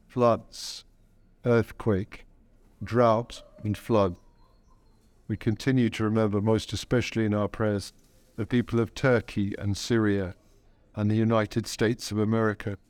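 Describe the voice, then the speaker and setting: Priest for a church service: man, smooth and unhurried, reverent prayer delivery, close dry sound. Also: on the low side, darkish tone, dry recording. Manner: reverent, measured phrasing with deliberate pauses.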